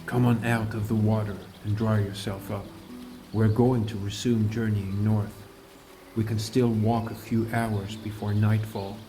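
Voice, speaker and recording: Man as a mellow, low fantasy adventurer narrating. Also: flat delivery, a little slow, faint music behind. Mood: calm, caring. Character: male fantasy adventurer, calm, caring mentor. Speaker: narrator and lead character. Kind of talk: scripted first-person audiobook narration.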